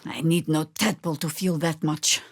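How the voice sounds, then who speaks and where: A woman playing a seasoned older druid warrior in a video game, wry and commanding, with dry, formal, archaic phrasing and a measured, weathered delivery. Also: sits low, fairly even intonation, no room sound. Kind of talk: video game character acting.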